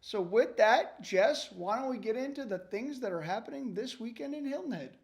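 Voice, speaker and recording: A man's voice: extremely high pitch, moderate pace. A man hosting a local podcast, reading an upbeat intro and then chatting casually with his co-host. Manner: upbeat scripted intro, then relaxed chat.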